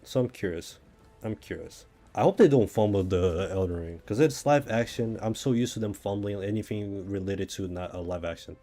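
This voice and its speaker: A man with a Brazilian accent talks casually while streaming a game. His voice sits fairly low and has a soft, dark tone. He rambles and thinks aloud, with faint music playing behind him. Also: moderate pace. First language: Portuguese. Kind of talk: casual chat while gaming.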